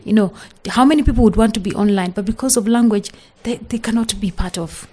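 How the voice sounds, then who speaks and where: A woman's voice: mid-range voice, fairly quick, dark tone, slightly rough. A woman from Kenya with a Kenyan English accent and Swahili as her first language, speaking earnestly as an interview guest, with many restarts and "you know" fillers. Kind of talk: podcast interview.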